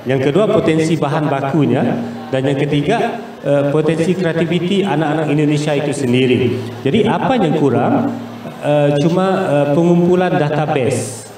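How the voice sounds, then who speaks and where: A man from Malaysia with a Malaysian accent gives explanatory interview answers as a business guest, pausing and searching for words. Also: fairly high pitch, warm tone. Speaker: business guest, investor and trade promoter. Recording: echoey, distant mic with faint noise.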